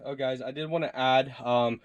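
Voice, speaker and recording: A man's voice: mid-range voice, steady tone, moderate pace, no echo, dull audio. A man doing a casual, upbeat hands-on product review, chatting to his viewers as he tries things out.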